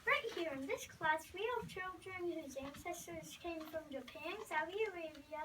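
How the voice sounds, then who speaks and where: A small child with a high voice reads scripted lines for a class readers theater. The recording is noisy and the mic is well away from the speaker. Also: moderate pace. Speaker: second-grade student performer. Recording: distant mic, clearly noisy school recording.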